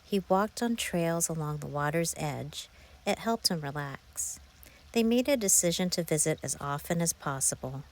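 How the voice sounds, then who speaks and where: Woman reading a children's storybook, lowish voice. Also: lively intonation, normal speed. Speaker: author narrating her own children's book. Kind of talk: scripted children's storybook narration.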